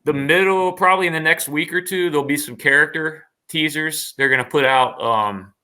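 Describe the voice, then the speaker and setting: Bright-voiced man chatting casually. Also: a little high, moderate pace, clean tone. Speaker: guest, voice actor. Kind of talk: casual livestream chat.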